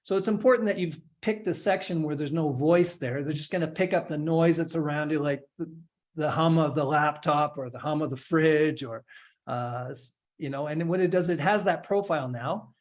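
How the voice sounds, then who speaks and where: Relaxed man walking through a software demo. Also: fairly high pitch, moderate pace. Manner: relaxed step-by-step narration with light humor. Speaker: instructor giving a software demo. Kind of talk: screen-share tutorial, casual explanation.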